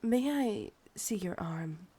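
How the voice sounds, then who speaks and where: A Scottish woman voicing an ancient, insatiable female vampire in an ASMR roleplay, coaxing and sighing, breathy and often dropping to a whisper. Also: lowish voice, animated, slow pace, mellow, full character voice. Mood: savouring, intimate.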